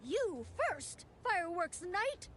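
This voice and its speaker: A woman's voice: extremely high pitch, big pitch swings, slow pace, a little hiss or hum, exaggerated voice. A woman voicing a grandiose, theatrical examiner of a knightly order in a video game, pompously proclaiming with solemn eagerness. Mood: grand, commanding.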